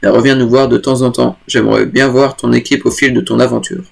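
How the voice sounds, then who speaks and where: A kindly video-game father passing on advice, voiced by a man reading the game's text aloud. The delivery is plain and unhurried, with a gravelly, fry-laden tone. A cold leaves the voice stuffy. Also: mid-range voice, little pitch movement. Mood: encouraging. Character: kindly middle-aged father giving advice.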